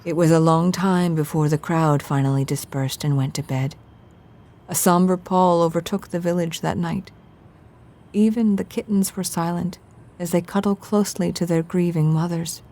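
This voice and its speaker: A woman's voice: on the low side, moderate pace, mellow. A woman narrator giving scripted, dramatic storytelling narration for an audio drama.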